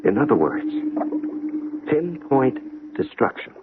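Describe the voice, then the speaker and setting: A man's voice: medium pitch, sing-song, slow, noisy background. A man playing a stiff, by-the-book government inspector with a pricked conscience in an old-time radio drama, speaking formally and defensively. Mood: doubtful, hesitant. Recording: muffled, narrow-band vintage radio recording.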